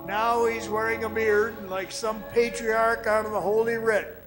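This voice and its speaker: A man playing a weary, awestruck man who recounts an eerie ordeal in a radio drama, dramatic, halting and haunted. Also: sits high, little pitch movement, natural voice, poor audio. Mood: awed. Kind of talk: dramatic radio play narration. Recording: music behind the voice.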